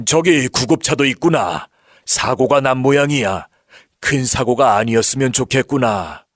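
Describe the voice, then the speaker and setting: A man voicing a kindly old grandfather in a children's storybook, gentle and patient as he explains things. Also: medium pitch, unhurried, dry recording, studio quality, natural voice. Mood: worried.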